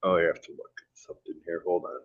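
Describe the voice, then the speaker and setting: A man joining a livestream as a guest, explaining things in a hesitant, halting way. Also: sits low, fairly even intonation, dry recording. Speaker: stream guest. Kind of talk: casual livestream chat. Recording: dry, dull lo-fi call audio.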